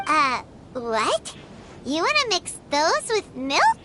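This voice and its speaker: A woman voicing a small, childlike, excitable fairy companion in a video game, with a blunt, incredulous manner. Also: sits high, slow pace, a little hiss or hum, full character voice. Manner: blunt, incredulous, speaks of herself in third person. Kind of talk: video game character acting.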